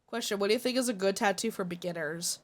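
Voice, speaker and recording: A woman's voice: mid-range voice, fast talker. A congested woman streamer chatting casually and giving blunt, opinionated takes. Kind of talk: casual live-stream chat.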